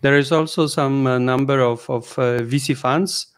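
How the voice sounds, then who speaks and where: A man's voice: medium pitch, fairly even intonation, moderate pace, clear, resonant tone. A man co-hosting a podcast, asking questions hesitantly, often repeating words. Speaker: podcast co-host.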